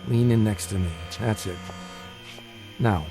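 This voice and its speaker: An actor in a radio drama playing a calm, careful married father who is secretly plotting, with a guarded manner. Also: fairly low pitch, measured pace, vocal fry, music in the background. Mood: guarded, secretive.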